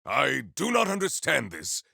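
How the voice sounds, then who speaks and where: An actor playing a proud, honorable warrior in a video game, speaking in a stately, theatrical, formal way. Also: male voice, medium pitch, huge pitch swings, measured pace, creaky, sharp tone, husky, dry recording, studio quality, full character voice. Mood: solemn, resolute. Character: proud, honorable, formal warrior, adult man, earnest and grandiose. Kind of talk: video game character voice lines.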